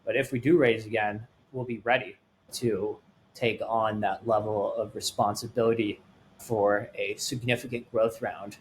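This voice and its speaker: Man, late twenties, light swedish accent, swedish native, lowish voice, earnest rambling answers, faint noise behind. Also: fairly even intonation, unhurried, low-quality recording. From Sweden. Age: late 20s. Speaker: startup founder guest. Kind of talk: podcast interview answers.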